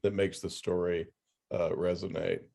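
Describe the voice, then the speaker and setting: A man speaking as an editor and interviewer in casual, halting discussion. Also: low voice, little pitch movement, creaky, dark tone.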